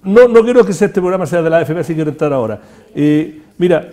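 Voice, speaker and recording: A man with a Chilean accent hosting a talk-show conversation, talking fast with an opinionated tone and many restarts. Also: on the high side, lively intonation, dark tone. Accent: Chilean Spanish. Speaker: host.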